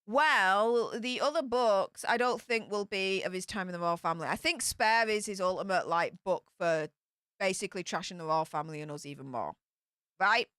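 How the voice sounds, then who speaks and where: A woman with a broad Yorkshire accent hosts a casual live stream. She reads out viewer comments and chats back at them, and her voice is bright and smooth. The sound is close and dry, with no room around it. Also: mid-range voice, moderate pace, dry recording. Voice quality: broad Yorkshire slang and greetings. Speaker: livestream host reading chat.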